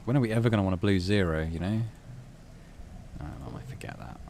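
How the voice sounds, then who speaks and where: A man's voice: deep voice, quick pace, creaky, background noise. A man giving casual playthrough commentary on a game, thinking aloud and reading its story text out. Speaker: gaming channel host.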